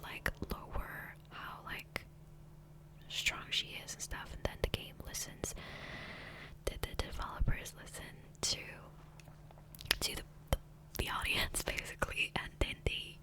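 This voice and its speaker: A woman whispering softly and breathily in a casual, rambling ASMR gameplay chat, close to the microphone. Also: low-quality recording. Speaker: ASMR video host. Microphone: close USB condenser microphone.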